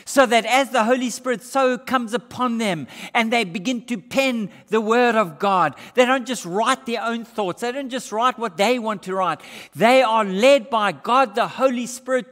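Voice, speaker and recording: An older man preaching a sermon in a church, shifting between raised, emphatic passages and hushed, low ones. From Australia. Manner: gestures broadly while preaching. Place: church hall with brick walls. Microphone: far camera. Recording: reverberant church hall.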